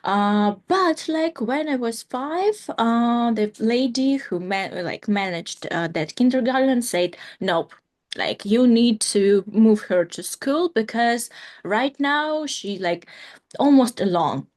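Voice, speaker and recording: A woman's voice: on the high side, expressive, slow, a little mellow. A woman in her thirties from Ukraine with a Ukrainian accent, a guest telling her story in a casual interview, full of fillers and restarts. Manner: many "like" fillers and restarts, non-native grammar. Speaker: guest, company founder.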